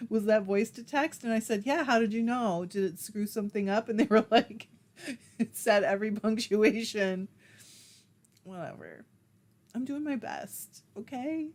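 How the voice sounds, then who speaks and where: A woman hosting a casual livestream, reading viewer comments aloud and chatting back in a self-deprecating way, laughing often. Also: on the high side. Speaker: livestream host.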